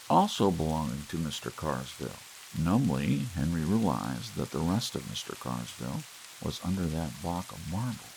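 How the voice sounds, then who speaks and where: A man reading a short story aloud in an ASMR audiobook style. He tells it expressively, rising for tense moments and sinking low and soft for quiet ones. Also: vocal fry, a little hiss or hum, low-quality recording. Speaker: audiobook narrator. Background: soft rain sounds.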